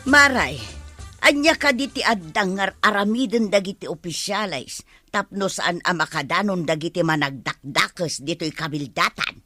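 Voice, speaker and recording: A woman's voice: lowish voice, animated, normal speed, pushed and bright, faint music behind. A woman with an Ilocano accent acting an opinionated, gossipy village neighbour in a radio comedy-drama, insistent in manner. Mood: prying.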